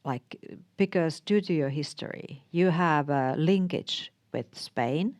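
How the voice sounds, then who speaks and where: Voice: mid-range voice, slow pace, darkish tone. An interviewer in a conversation, listening attentively and giving frequent murmured agreement.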